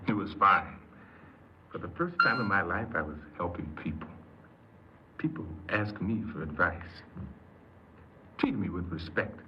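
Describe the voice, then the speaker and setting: An actor playing an earnest, insecure paroled ex-convict who tells his story haltingly in a 1950s radio drama. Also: deep voice, sing-song. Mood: earnest, wistful. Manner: hesitant, halting, repeats words. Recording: noisy muffled 1950s radio recording.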